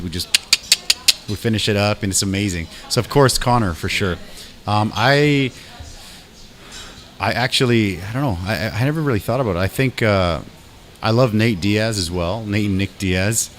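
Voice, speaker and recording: A man hosting a podcast, chatting casually and excitedly with bro-ish slang and the odd swear word. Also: on the low side. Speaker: podcast host.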